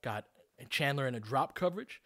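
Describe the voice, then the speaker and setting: A man's voice: mid-range voice, lively intonation, brisk, fairly bright tone. A man doing live basketball play-by-play, speaking in clipped, informal phrases and adding tactical analysis as he goes.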